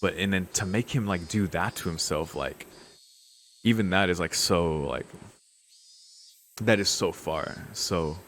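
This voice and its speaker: A man's voice: deep voice, a little slow, pauses often, fairly bright tone, slight background noise. A man giving casual let's-play commentary, rambling and thinking aloud in slangy speech. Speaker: video host, game player. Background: game music.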